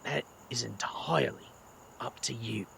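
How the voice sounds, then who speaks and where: An actor playing a weary, kindly middle-aged British magician with a gravelly voice and a slangy, wry way of talking in an audio drama. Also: male voice, medium pitch, measured pace, sharp tone, slight background noise, exaggerated voice. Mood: gentle, earnest.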